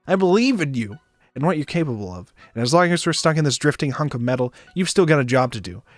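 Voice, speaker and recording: Man reading as wry, higher-voiced space traveler. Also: lively intonation, fast talker, no room sound, faint music behind, close to an everyday voice. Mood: encouraging. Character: male space traveler, teasing, wry, warm-hearted companion. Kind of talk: visual novel read-aloud.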